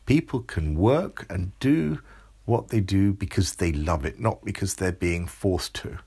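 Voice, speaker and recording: A cheeky little girl with a plucky, raised voice, as a male storyteller puts her on. The man under it stays gravelly and husky. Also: lively intonation, unhurried, full character voice. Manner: high and bright, plucky.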